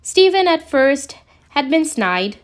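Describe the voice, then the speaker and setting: A woman's voice: high voice, very animated, slow. A woman reading a scripted piece of fan fiction aloud as a storyteller. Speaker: podfic narrator. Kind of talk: scripted fan fiction narration, read slowly.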